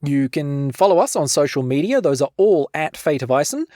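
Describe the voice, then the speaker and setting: A woman with a New Zealand accent speaks as a cheeky podcast host. Her delivery is very lively and theatrical, swinging widely in pitch, and the recording is dry and close. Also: normal speed, no echo. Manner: animated, cheeky. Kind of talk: playful, theatrical podcast outro.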